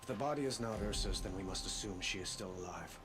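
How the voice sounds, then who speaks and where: A man's voice: lowish voice, music in the background, lightly acted. A man voicing a grave, commanding king. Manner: measured and formal. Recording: game audio under a stream, with background noise.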